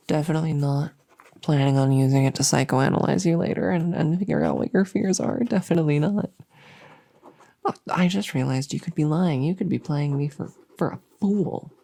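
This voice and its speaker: A woman playing an anxious, overworked but friendly monster under the bed in a comedic ASMR roleplay, rambling and stammering softly, often slipping into a whisper. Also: low-pitched, moderate pace, vocal fry. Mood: eager, scheming. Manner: rambling, nervous, stammering and repeating herself.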